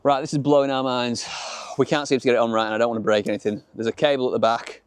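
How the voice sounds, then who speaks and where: A man's voice: mid-range voice, flat delivery, fast. Yorkshire man chatting over noisy car ambience. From Yorkshire, England. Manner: chatty, colloquial British slang. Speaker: car review presenter. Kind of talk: casual car vlog commentary. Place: in and around a small open-top car outdoors.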